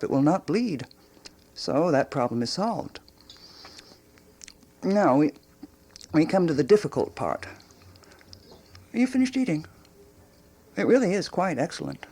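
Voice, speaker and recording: A woman's voice: deep voice, warm tone, breathy edge. An actress playing an exuberant, self-assured older woman intellectual and amateur sleuth in a radio drama, theatrical and teasing.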